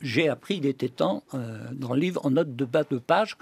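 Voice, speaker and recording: A man hosting a radio interview, asking brisk, direct questions. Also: medium pitch, lively intonation, gravelly, husky, no room sound. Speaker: radio host.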